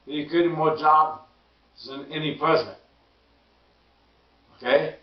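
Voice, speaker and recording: A hoarse man who stammers and repeats words, giving an emphatic casual political monologue. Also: fairly even intonation, slow. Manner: emphatic, rising pitch when indignant. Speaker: political commentary vlogger. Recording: roomy distant lo-fi sound.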